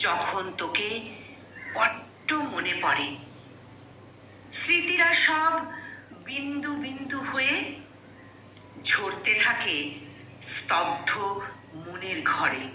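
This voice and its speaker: Very slow, bright-voiced woman reciting poetry. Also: fairly high pitch, very animated. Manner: slow, dramatic phrasing with frequent pauses. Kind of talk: expressive Bengali poem recitation. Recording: echoey room, distant mic, faint noise.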